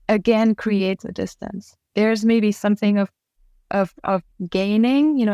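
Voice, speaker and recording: A woman whose first language is German talks thoughtfully as a podcast guest. She picks her words with care. Her voice has some gravel to it, and the recording is dry and close. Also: mid-range voice, a little mellow, no room sound. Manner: thoughtful, measured, searching for words. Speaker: guest, trauma care facilitator trainer. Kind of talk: reflective podcast conversation.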